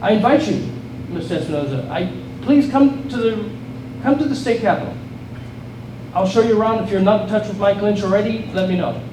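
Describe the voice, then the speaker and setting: South African man, middle-aged, high-pitched, earnest political speech, distant and echoey, noisy hall. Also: fairly quick. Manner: earnest, rallying, repeats and restarts phrases. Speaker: state legislator giving a speech. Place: event hall with an audience.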